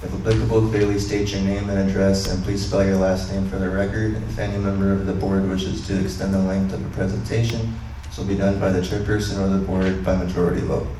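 A man chairing a board meeting, reading out rules in a measured, procedural way. His voice is low and very flat. It comes from far off in a heavily echoing room with noise behind it. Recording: very echoey, distant meeting-room audio with noise.

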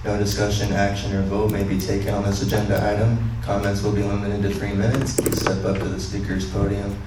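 A man chairing a public board meeting, reading procedural rules in a measured way. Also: fairly low pitch. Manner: reads procedural script in a flat, measured way. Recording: very echoey, distant meeting-room audio with noise.

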